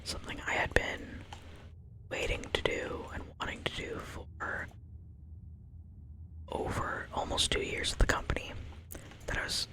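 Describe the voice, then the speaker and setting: A young woman telling a long personal story in a soft ASMR whisper, wandering off into asides as she goes. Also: unhurried, long pauses.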